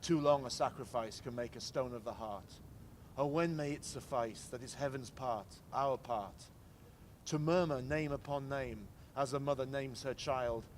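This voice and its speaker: Clear urban noise with vehicles surrounds a man reciting verse outdoors. His voice sits at a middle pitch for a man. He phrases the lines in a measured, declamatory way, as a reader at a public commemoration. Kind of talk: poetry recitation. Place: outdoors in a city square.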